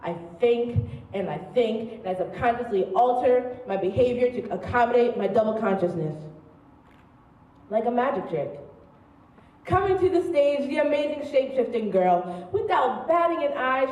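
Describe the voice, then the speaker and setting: A woman's voice: a little high, dark tone, distant mic. A young American woman delivering a theatrical monologue on stage in a dramatic, articulate manner. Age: young adult. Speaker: student spoken-word performer. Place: stage in a large room. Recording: echoey stage, picked up from afar.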